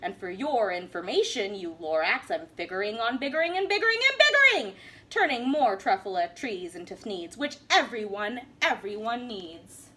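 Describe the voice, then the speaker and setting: A woman playing a greedy, stubborn male factory owner who shouts back defiantly. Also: medium pitch, big pitch swings, moderate pace.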